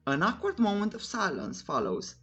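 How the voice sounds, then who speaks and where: Animated recap narrator with wry asides. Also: mid-range voice, moderate pace, dull audio. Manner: wry, informal, joking asides. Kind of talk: scripted story recap narration with playful asides. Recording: clear music bed under voice. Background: background music.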